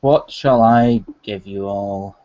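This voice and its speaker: A man's voice: fairly low pitch, takes it slowly, over a noisy crowd. A man co-hosting a podcast, tossing in short wisecracks. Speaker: podcast co-host. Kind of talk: casual banter. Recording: dull lo-fi audio.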